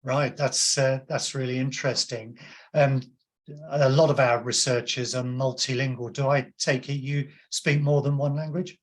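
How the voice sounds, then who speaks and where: A man's voice: mid-range voice, flat delivery, normal speed. Guy hosting an interview, friendly questions, some ums and uhs. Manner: friendly, with filler hesitations. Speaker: host and company founder. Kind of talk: interview host.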